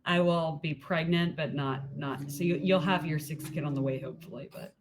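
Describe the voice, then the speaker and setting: A woman's voice: low voice, normal speed, a little hiss or hum. A woman in her late thirties co-hosting a podcast in casual chat, gushing and laughing often. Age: 30s. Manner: giggly, gushing, lively with frequent laughs. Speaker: podcast co-host.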